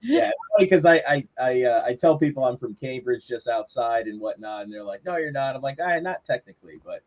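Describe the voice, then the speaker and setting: A man's voice: mid-range voice, expressive, a little fast, a little mellow, rough recording. A man in his forties from Florida, a podcast guest chatting and telling long rambling stories about his life. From Southwest Florida, United States. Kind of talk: casual chat.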